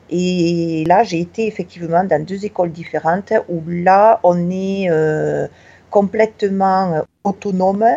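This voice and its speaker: A middle-aged woman with a southwest French accent speaks slowly and hesitantly, with many pauses. Her voice is low, mellow and gravelly. The sound is a little dull and lo-fi. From Tarn, southwest France. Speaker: teacher at a Bavarian high school. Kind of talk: interview answer.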